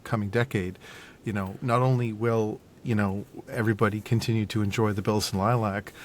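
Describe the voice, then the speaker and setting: Low-voiced, agreeable man. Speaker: producer being interviewed. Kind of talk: casual conversational interview. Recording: low rumbling background noise.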